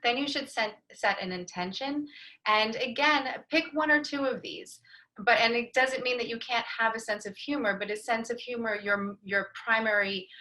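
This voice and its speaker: A woman panelist giving a measured, advisory explanation over a video call. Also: on the high side, pushed and bright, poor audio. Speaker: brand strategist, panelist. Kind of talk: explanatory presentation.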